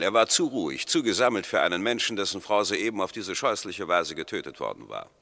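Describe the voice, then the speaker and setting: A disapproving professor testifying in a 1960s German radio drama. His voice is forceful and rather low, with lively swings in pitch. He gives his expert evidence formally and emphatically. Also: exaggerated voice. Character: stern, disapproving male professor, expert medical witness.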